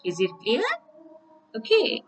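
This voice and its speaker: A woman's voice: a little high, wildly swooping pitch, faint music behind. A woman teacher, giving a recorded English lesson and often checking in with "okay?". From Bangladesh. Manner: slow, repeats "okay?" to check understanding.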